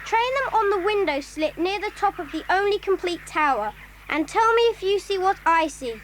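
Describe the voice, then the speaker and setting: A woman's voice: very high-pitched, animated, normal speed, slight background noise. A woman playing a plucky, earnest young schoolboy adventurer in a children's audio drama. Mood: urgent.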